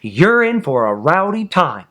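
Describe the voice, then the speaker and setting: A man's voice: on the high side, big pitch swings, unhurried, pushed and bright, pitched above the performer's own voice, full character voice. A man voicing a scandalized, nosy woman neighbor in a storybook, emphatic and affronted. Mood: indignant.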